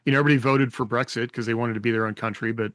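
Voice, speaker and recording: A man's voice: mid-range voice, fairly even intonation, racing, a bit bright, no room sound. American man thinking aloud, close dry sound. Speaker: podcast co-host. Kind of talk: casual panel discussion.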